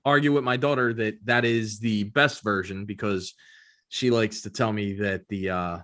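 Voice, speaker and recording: A man's voice: on the low side, steady tone, normal speed, a bit bright. Casual male podcast co-host, informal and opinionated. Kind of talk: casual chat.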